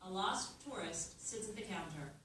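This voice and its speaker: A woman's voice: mid-range voice, expressive, normal speed, a bit bright. A woman reading scripted screenplay narration aloud at a live staged reading. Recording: echoey room, distant mic, background noise.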